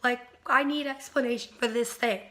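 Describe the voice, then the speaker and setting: A woman's voice: fairly high pitch, steady tone, normal speed, sharp tone. A woman whose voice is worn from being sick, chatting casually about books she has read, rambling with many ums and likes. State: voice worn from illness, recovering. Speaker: book reviewer. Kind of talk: casual chatty book review.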